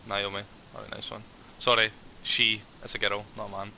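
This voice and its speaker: A rambling, friendly man with a lowish voice and a very bright, forward tone. He fills his talk with ums and restarts as he chats in character. A faint hum of ambience sits behind him. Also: completely flat delivery, moderate pace, gravelly, low-quality recording. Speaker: roleplay player as a ranger. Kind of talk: in-character roleplay chat.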